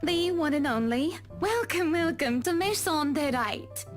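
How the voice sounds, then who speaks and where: A man's voice: very high voice, lively intonation, measured pace, faint music behind, voice pushed up. A man voicing a glamorous, gracious rich woman who owns a mansion in a visual novel. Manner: gracious, theatrical hostess.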